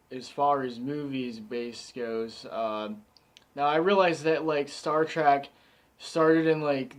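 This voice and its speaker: Slow-talking excited man reviewing a movie. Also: medium pitch. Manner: enthusiastic, rambling with many fillers. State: slightly hoarse, losing his voice. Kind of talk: casual spoken movie review.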